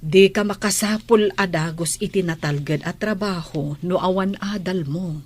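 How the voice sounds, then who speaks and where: A woman playing a firm, practical mother in a radio drama, in a husky voice. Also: lowish voice, a little slow. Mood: firm advising. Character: firm, practical mother urging her daughter to study.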